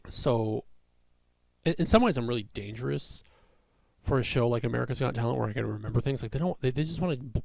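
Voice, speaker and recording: A young man, a comedian, talking through a personal vlog in a rambling way with a raspy voice and many fillers. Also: mid-range voice, darkish tone, no room sound. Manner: rambling, full of fillers and restarts.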